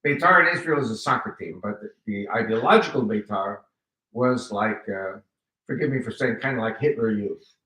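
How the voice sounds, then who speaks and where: A man in his seventies, American-born with Spanish as his first language, giving an animated personal testimony to a live audience and acting out quoted dialogue. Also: lowish voice, normal speed, recorded from a distance. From United States. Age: 70s. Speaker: guest speaker giving testimony. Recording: roomy hall, voice picked up from afar.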